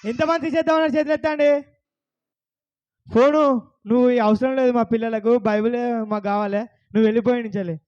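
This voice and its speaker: A man's voice: very high-pitched, fairly even intonation, fast, long pauses, darkish tone, smooth, dull audio. A man hosting a kids' bible puppet show, asking the children questions over and over.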